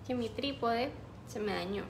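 Young ecuadorian woman on a phone livestream, a bit high and gravelly, noisy audio. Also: normal speed. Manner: chatty, reads out viewer names and answers them. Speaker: livestream host. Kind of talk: casual livestream chat with viewers. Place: small room indoors. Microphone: phone on a ring light stand.

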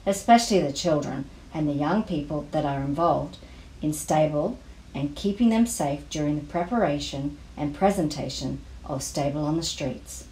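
A woman reading volunteer safety rules aloud, item by item, in a measured way. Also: low voice, expressive, mellow, slight background noise. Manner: measured, list-by-list reading delivery. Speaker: volunteer safety induction presenter. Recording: roomy, echoey voice-over.

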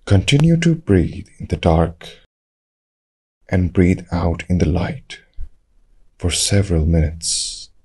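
A man leading a guided meditation, giving calm, measured instructions in short phrases with long pauses. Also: low voice, husky.

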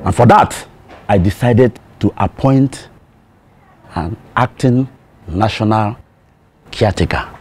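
A man acting as a solemn, pompous Nigerian compound boss, giving a formal, grandiloquent speech in deliberate phrases. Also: lowish voice, darkish tone, breathy edge. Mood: grave, disappointed. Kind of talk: formal speech in film drama.

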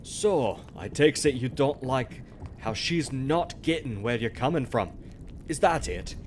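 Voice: medium pitch, lively intonation, unhurried, slight background noise. A performer playing a loyal pirate crewmate in an ASMR roleplay, talking in a folksy, hesitant, coaxing way. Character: placating, loyal pirate crewmate reasoning with the captain.

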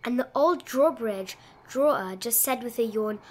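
A woman reading a rhyming children's picture book aloud in an expressive sing-song manner. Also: fairly high pitch, measured pace. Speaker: storybook reader.

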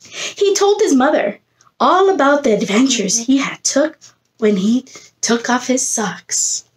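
A woman's voice: high voice, animated, slow pace. A woman in her thirties, a preschool teacher reading a picture book aloud to young children, expressively, coaxing them with questions and prompts. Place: indoor room against a plain textured wall.

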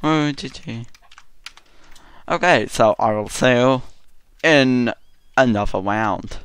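Young British male gamer, unhurried, clear voice, self-mocking commentary. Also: medium pitch. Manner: chatty, excitable, self-mocking. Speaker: gaming channel host. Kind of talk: casual gameplay commentary.

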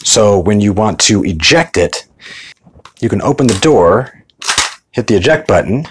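A man's voice: fairly low pitch, expressive, measured pace, slight background noise. A middle-aged American man talking informally and conversationally in a casual show-and-tell vlog. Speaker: video host.

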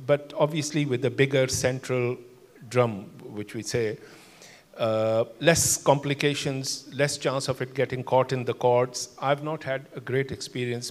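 An older man, a cardiologist on a conference panel, explaining cases in a measured way with many fillers. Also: medium pitch. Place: large conference hall. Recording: long hall reverb.

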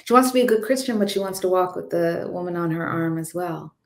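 A woman from Jamaica talks emphatically, often circling back for the right word. Her voice sits fairly low. The webcam catches a noticeably echoey room. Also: quick pace. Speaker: filmmaker panelist. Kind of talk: panel discussion on video call. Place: home room indoors. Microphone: webcam video call.

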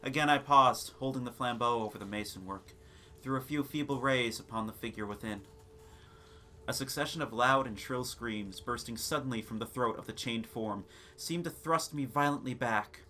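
Bright-voiced man narrating dramatically. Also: medium pitch, normal speed. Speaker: narrator of a gothic short story. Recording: noisy ambience sound bed. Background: background ambience and sound effects.

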